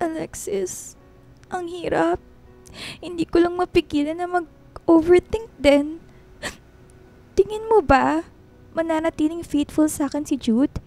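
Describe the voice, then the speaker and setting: A woman's voice: very high voice, slow, pauses often, dark tone, faint music behind, full character voice. A woman playing a young, vulnerable woman confiding in her friend in a Filipino radio drama. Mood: tearful, hurt.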